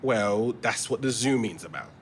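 A man's voice: on the low side, animated, normal speed, natural voice. An actor playing an eager, earnest young London theatre actor in an audio drama, overenthusiastic and stumbling over his words, with a London accent. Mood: keen, defensive. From London, England. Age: young adult. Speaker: actor in a fiction podcast. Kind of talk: naturalistic audio drama dialogue. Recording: faint ambient background noise.

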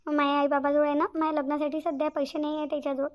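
Very high-pitched, earnest young bride-to-be acted by a woman. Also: level tone, racing, lo-fi sound, natural voice. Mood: distressed, earnest. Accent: Varhadi Marathi from Vidarbha. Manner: earnest, repeats phrases emphatically. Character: anxious, selfless young bride-to-be, tenderly devoted. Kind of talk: cartoon family drama dialogue.

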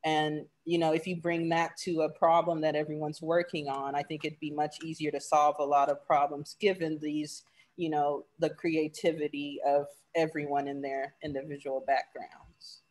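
A graduate student answering panel questions casually, with many fillers, over a video call. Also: male voice, on the high side, flat delivery, normal speed.

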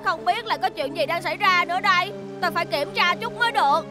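A brave little cartoon hero boy, extremely high and quick. The delivery is earnest and determined, over loud music. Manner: urgent, determined. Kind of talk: dubbed cartoon acting.

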